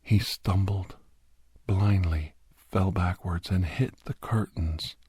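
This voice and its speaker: A man playing a captive doctor who grimly recounts his escape, half-whispered in a breathy ASMR horror narration with halting, deliberate phrases. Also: low-pitched, a little mellow, dry recording, full character voice. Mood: grim suspense. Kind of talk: first-person scripted horror narration, ASMR.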